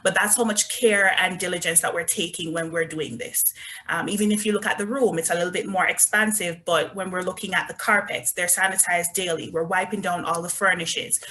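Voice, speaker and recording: A woman's voice: on the low side, fairly even intonation, a little fast, sharp tone. A woman with a Jamaican accent, presenting resorts in an upbeat, chatty sales talk over a video call. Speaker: resort business development manager. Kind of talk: online travel presentation.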